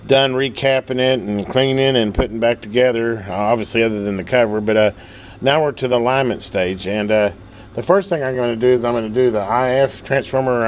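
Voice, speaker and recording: A man hosting a hands-on electronics repair video, explaining step by step in an informal way as he works. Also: mid-range voice. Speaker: host of a hobby electronics repair channel. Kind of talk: casual hands-on demonstration. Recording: clear background noise.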